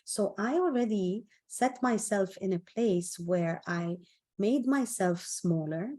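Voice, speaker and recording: A woman's voice: mid-range voice, slow, mellow. A woman speaking as a motivational speaker, telling personal stories in an emphatic, conversational way and repeating phrases for emphasis. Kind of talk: personal storytelling talk on self-esteem.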